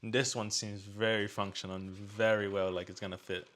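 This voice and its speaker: A man's voice: on the low side, flat delivery, moderate pace, fairly bright tone. A man chatting casually as he hosts an unboxing and product review.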